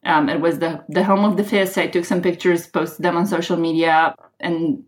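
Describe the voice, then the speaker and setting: A woman with a Romanian accent, a realtor guest talking casually on a podcast, often pausing with ums and restarting. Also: lowish voice, steady tone. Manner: hesitant, many ums and restarts.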